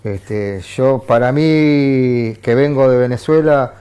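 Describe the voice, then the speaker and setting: A man with an Argentine accent, a journalist guest on a TV political panel who talks in halting phrases with frequent restarts and fillers. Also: medium pitch, dark tone, clear, resonant tone. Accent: Argentine Spanish. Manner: hesitant, many restarts and fillers. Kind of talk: TV studio political discussion. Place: TV studio.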